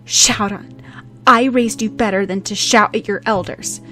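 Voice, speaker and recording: A woman's voice: medium pitch, fairly even intonation, measured pace, pushed and bright, soft background music, pitched above the performer's own voice. A woman voicing a distraught mother in an audiobook story, moaning in desperate disbelief. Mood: weary scolding. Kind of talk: dramatic audiobook character voice.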